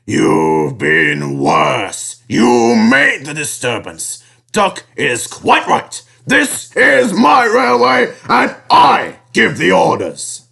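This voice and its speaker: A commanding, stout railway boss lays down the law in a booming, stern voice, done by a male storyteller. He speaks slowly, and his pitch climbs and drops widely. It has the sound of a storybook read aloud. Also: big pitch swings, creaky, a bit bright, breathy edge, exaggerated voice. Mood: thundering. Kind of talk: storybook reading.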